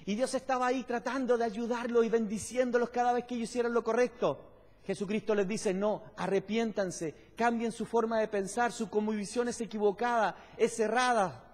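A man's voice: extremely high pitch, fairly even intonation, moderate pace. A man preaching a sermon in an explanatory, emphatic teaching manner, putting questions to the congregation. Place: large church hall, on stage at a pulpit. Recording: echoey church hall with long reverb.

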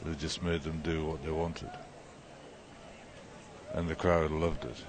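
Very deep-voiced man chatting after a concert. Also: slow, noisy background. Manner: relaxed, rambling, with many fillers. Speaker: podcast host. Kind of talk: casual chat. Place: outdoors at a festival.

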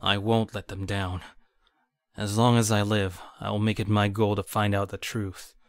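Man as a low-voiced, brooding young hero. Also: level tone, normal speed, dry recording, clean studio sound, natural voice. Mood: brooding, resolute. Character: brooding, determined young male ninja heir. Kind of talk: amateur anime audio drama acting.

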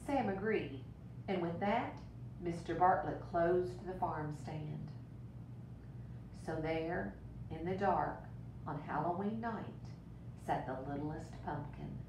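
A woman with a fairly low voice reads to young children like a teacher at story time. She is expressive and deliberate. The room echoes around her, and a clear rumble sits behind the voice. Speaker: teacher reading aloud to children. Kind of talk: storybook read-aloud with brief asides. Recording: roomy, distant mic with rumbling noise.

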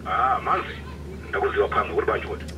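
An actor with a Malawian accent playing an impatient, bullying criminal boss in a radio drama. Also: male voice, on the low side, quick pace, crowd noise, natural voice. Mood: curt, impatient. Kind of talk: radio drama phone call. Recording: noisy radio play phone call.

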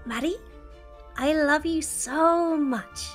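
A woman's voice: sits high, slow pace, faint music behind. A woman playing a cautious, fretful wizard student in a Minecraft roleplay, often hesitant and questioning. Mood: cautious, worried.

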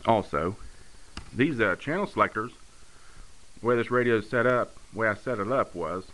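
A man with a fairly low voice gives a casual technical walkthrough. He explains things plainly, like a hobbyist showing his work. The sound is amateur handheld camera audio with noticeable background noise. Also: moderate pace, fairly bright tone, low-quality recording. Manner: matter-of-fact, explanatory. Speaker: radio hobbyist demonstrating his conversion work. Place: indoor room at a home radio bench. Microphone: handheld camera's built-in mic. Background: clear background noise.

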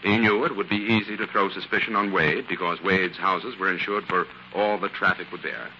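An actor playing an assured, authoritative detective explaining a case in a 1940s radio drama. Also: male voice, deep voice, fairly even intonation, normal speed, sharp tone. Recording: dull, hissy 1940s radio recording.

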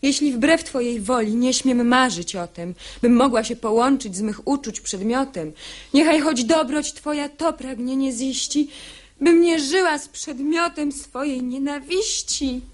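An actress playing a desperate, imploring young daughter in a classic verse radio play, speaking theatrically. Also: female voice, sits high, unhurried. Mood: pleading, desperate. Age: young adult. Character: pleading, desperate young daughter facing forced marriage.

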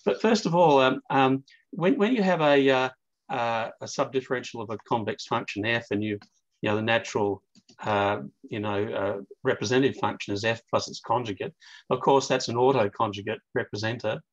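An older man chairing an academic session by video call, putting questions in a halting, circling way. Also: medium pitch. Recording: dull, lo-fi video call audio.

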